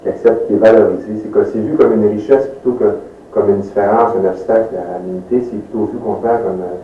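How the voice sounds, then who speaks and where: A man's voice: on the low side, steady tone, a little fast, warm tone, slight background noise. A man reflecting in an interview, searching for words and repeating himself. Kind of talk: reflective testimony. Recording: roomy echo, distant mic.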